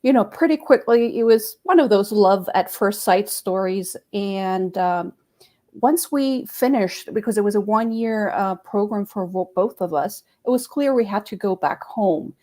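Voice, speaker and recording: A woman from Costa Rica with a mid-range voice tells her personal story as a guest on a live web panel. She speaks briskly and casually, now and then repeating a word. She talks over a video call. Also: mid-range voice, warm tone.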